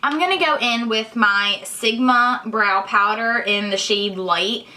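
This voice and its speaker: Hoarse congested girl in her 20s chatting thru her makeup, kinda bright tone. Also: on the high side, slow pace. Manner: casual, chatty, explains each step. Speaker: beauty vlogger. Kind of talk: chatty get-ready-with-me tutorial.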